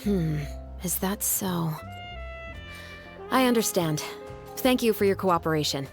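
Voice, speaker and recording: A woman's voice: fairly low pitch, lively intonation, unhurried, creaky, over music. A woman playing a composed, dutiful young patrol officer in a video game, speaking formally and earnestly. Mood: polite, probing.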